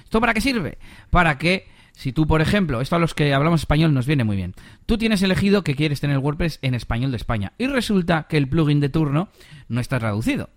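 A man, co-hosting a casual tech podcast, explaining things in a relaxed way with rhetorical questions. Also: on the high side, no room sound, clean studio sound. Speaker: podcast co-host.

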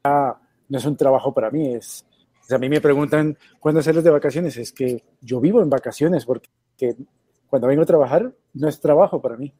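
Man in his thirties, interview guest, mellow relaxed voice, dry close sound. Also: medium pitch, brisk, slightly rough, dry recording. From Colombia. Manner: relaxed, storytelling, swears casually. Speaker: guest, web designer. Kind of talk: casual interview.

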